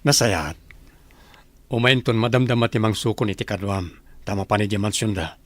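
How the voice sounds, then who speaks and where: A man's voice: fairly low pitch, normal speed, dry recording, natural voice. A man playing a blunt, commanding wealthy mansion owner in a radio comedy drama. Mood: stern. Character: wealthy male mansion owner, childless husband.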